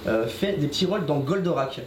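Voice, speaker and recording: Man, host, interviewer, slightly high voice, echoey room. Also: expressive, brisk, crowd noise. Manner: brief acknowledgements between questions. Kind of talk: interview questions, partly read. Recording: echoey room with faint noise.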